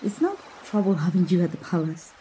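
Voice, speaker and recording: A woman playing a kind, adventurous young princess in a romantic ASMR roleplay, speaking softly and tenderly with a breathy voice. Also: fairly low pitch, sing-song, fairly quick, a little hiss or hum, rough recording. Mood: sympathetic, tender. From Mexico. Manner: soft, hesitant, tender, intimate delivery. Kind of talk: romantic ASMR roleplay, soft intimate acting.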